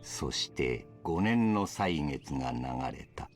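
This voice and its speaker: A very low-voiced, solemn storyteller of an epic fantasy legend for a video game intro. The delivery is grave, formal and measured. Loud music plays underneath. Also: male voice, lively intonation. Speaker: narrator.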